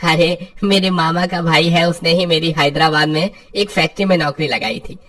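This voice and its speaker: A man's voice: fairly high pitch, normal speed, a bit bright, faint music behind, lightly acted. A man voicing a prosperous friend who gives advice in a cartoon story. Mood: contented. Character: prosperous adult male friend, once a poor labourer, advising. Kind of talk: scripted cartoon story dialogue.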